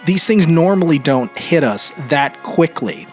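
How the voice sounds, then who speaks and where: A man's voice: a little high, lively intonation, a little slow, soft background music. An American man hosting a podcast solo, talking casually in an informal, rambling way and often interrupting himself. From Vermont, New England. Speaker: podcast host.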